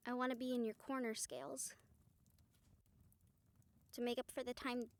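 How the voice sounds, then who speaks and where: Woman acting a weary, higher-voiced female vampire hunter. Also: little pitch movement, normal speed, lightly acted. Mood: remorseful. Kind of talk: intimate audio roleplay to the listener.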